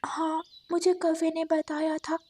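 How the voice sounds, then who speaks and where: High female voice, woman playing simple trusting goat, stubborn, animated children's fable. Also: measured pace. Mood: trusting, firm.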